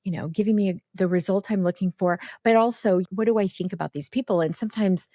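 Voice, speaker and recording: A woman's voice: mid-range voice, fast talker, no echo, clean studio sound. Chatty woman hosting a podcast. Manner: chatty, warm interviewer with many fillers. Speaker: podcast host. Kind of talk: casual podcast interview.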